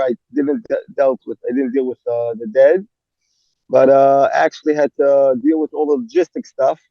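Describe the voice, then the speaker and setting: A young man with a gravelly edge answers interview questions in halting English. He stops, restarts and fills gaps often. The recording sounds dull and dry. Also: mid-range voice, no room sound. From Israel. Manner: halting, many fillers and restarts. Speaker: army rabbi. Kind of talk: interview guest.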